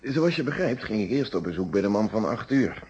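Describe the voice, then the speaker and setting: An actor in a Dutch radio play playing a courteous, methodical police inspector with formal, deliberate questioning. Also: male voice, on the low side, sing-song, darkish tone, husky, heavily acted. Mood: explaining. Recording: dull, band-limited old radio recording.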